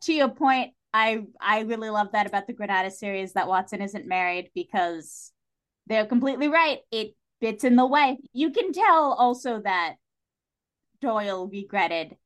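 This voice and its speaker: A woman talks as a guest on a casual podcast, with a medium-pitched voice. Her delivery is informal and animated. She states her opinions with emphasis. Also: moderate pace. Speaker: podcast guest discussing a TV series.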